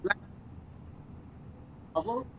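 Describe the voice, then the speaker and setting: A man from Trinidad who is a guest on a remote line and gives short, halting answers. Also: muffled recording, a little hiss or hum.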